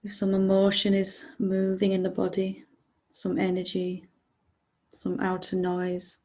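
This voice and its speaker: A woman leading a guided meditation as a teacher, speaking gently and calmly with unhurried pauses, softly giving instructions. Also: medium pitch, dull audio.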